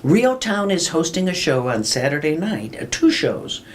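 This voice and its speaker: A woman hosting a casual radio interview, chatty and laughing easily, her voice unusually low for a woman. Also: very animated, moderate pace, a little hiss or hum. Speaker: radio show host.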